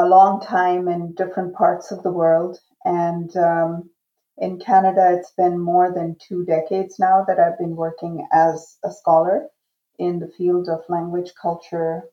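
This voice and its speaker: A woman in her fifties who grew up in Iran and whose first language is Urdu answers in a slow, measured, reflective way. Her voice sits a little low. The sound is roomy and dull, like a remote call. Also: steady tone. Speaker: guest, education professor. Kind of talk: interview answer.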